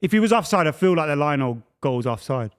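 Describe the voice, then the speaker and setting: A man's voice: on the high side, expressive, a little fast. A man co-hosting a football watch-along, calling the play like a commentator between casual chat. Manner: mock commentator calls of the action.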